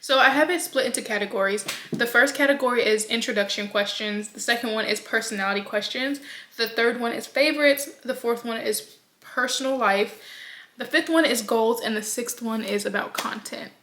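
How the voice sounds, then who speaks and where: Bright, chatty woman in her twenties. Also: on the high side, moderate pace, a little hiss or hum. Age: 20s. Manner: chatty, rambling, lots of ums and likes. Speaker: vlogger. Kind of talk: casual get-to-know-me vlog. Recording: echoey room sound.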